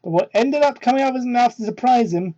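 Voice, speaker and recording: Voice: mid-range voice, animated, fast talker, mellow, muffled recording. A person giving a mocking dramatic reading of fan fiction, breaking off into exasperated, incredulous, foul-mouthed asides, on a poor-quality hobby microphone. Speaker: amateur reader of fan fiction.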